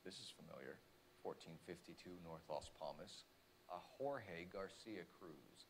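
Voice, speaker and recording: An actor playing a direct, formal young police detective in a video game. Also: male voice, deep voice, expressive, a little slow, gravelly, a little hiss or hum, full character voice, low-quality recording. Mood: businesslike. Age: young adult.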